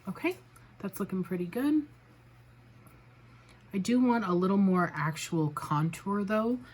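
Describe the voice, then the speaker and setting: A woman vlogger chatting casually through a makeup tutorial, a little raspy from a cold, narrating each step with self-deprecating remarks. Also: mid-range voice, expressive, measured pace, heavy background noise. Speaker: beauty vlogger.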